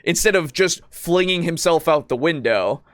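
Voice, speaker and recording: A man co-hosting a podcast, talking casually about tabletop roleplaying in a halting, rambling way with frequent swearing. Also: a little high, animated, fairly bright tone, no echo. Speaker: podcast co-host.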